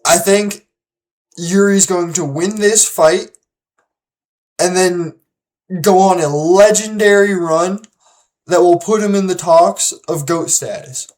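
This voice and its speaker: Slow-talking, easygoing commentary host. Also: mid-range voice, darkish tone, rough recording. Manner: unhurried, loose, with many restarts and repeated words; confident, emphatic when making predictions. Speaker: host of a fight-prediction channel. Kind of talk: casual solo commentary and predictions.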